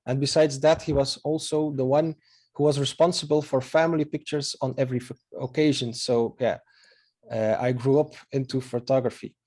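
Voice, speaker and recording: Mellow-voiced Belgian man, hesitant. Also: on the high side. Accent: Belgian Dutch. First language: Dutch. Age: 30s. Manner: hesitant, many filler sounds, friendly and earnest. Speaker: photographer giving a presentation. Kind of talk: online slideshow presentation.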